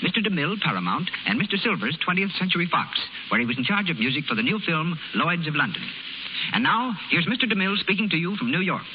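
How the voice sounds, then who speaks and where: Brief: male 1930s radio announcer, brisk pace, fairly high voice, muffled recording. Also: sing-song, noisy background.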